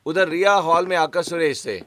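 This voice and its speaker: A man's voice: on the high side, normal speed, fairly bright tone. Man narrating a cartoon family story.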